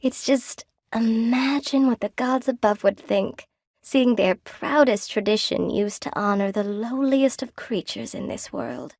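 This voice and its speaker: An actress voicing a lonely, proud spider woman, a cursed weaver in a video game, speaking softly with old-fashioned courtesy and a wry edge. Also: on the high side, measured pace, no echo, studio quality. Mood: flustered, humble. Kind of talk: video game character dialogue.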